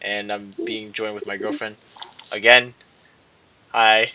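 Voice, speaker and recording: Bright-voiced man, casual gaming host. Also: on the low side, flat delivery, slow pace, clean tone, rough recording. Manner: stumbles and repeats words while reading. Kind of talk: casual chat and reading game story aloud.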